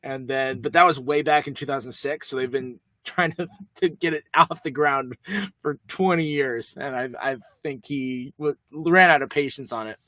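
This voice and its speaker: Quick, jokey young man on a dry mic. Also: medium pitch, fairly bright tone, dry recording. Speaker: podcast co-host. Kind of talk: casual chat.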